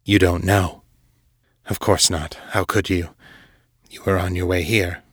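A man playing a deep-voiced, urbane older vampire lord. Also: little pitch movement, a bit bright, no room sound, clean studio sound, exaggerated voice. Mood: grave, measured. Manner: formal, unhurried, smoothly chiding. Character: powerful vampire lord in his fifties, urbane, condescending.

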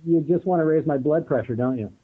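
A man giving casual, thoughtful interview answers as a guest, speaking haltingly over an internet call. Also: a little high. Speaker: interview guest, audio drama producer. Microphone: remote audio call. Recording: thin, phone-like internet call.

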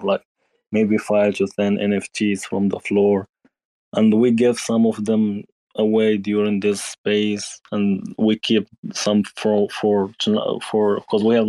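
A man hosting a casual online chat, halting and repeating his words as he goes. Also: fairly low pitch, mellow, dry recording, low-quality recording. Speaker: host.

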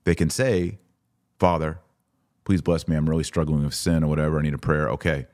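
A man's voice: extremely low pitch, fairly even intonation, a little fast, darkish tone, no echo, studio quality. A man hosting a podcast of religious commentary, reading aloud and reacting in an emphatic, informal, argumentative way.

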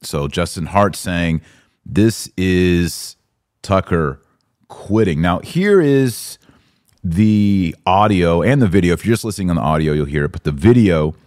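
A man with an American accent and a low voice speaks as a podcast host. He comments on the news to his audience in an informal, conversational style, stating his opinions plainly. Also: a little mellow.

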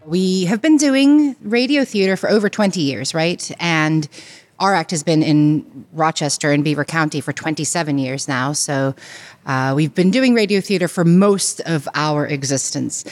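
Low-voiced woman explaining plainly. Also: normal speed, soft background music. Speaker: theatre company member. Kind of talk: casual interview answers.

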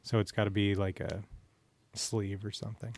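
A man's voice: on the low side, flat delivery, moderate pace, darkish tone, no room sound. A man hosting a podcast, chatting in a casual, teasing, informal way and joking as he asks questions. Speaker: podcast host. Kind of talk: casual joking interview chat.

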